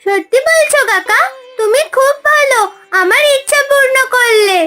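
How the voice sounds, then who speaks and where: A little village girl in a cartoon folk tale, with a tiny, extremely high voice. She sounds sweet, childlike and plaintive. Music plays clearly behind her. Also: moderate pace, bright, forceful tone. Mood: grateful, happy.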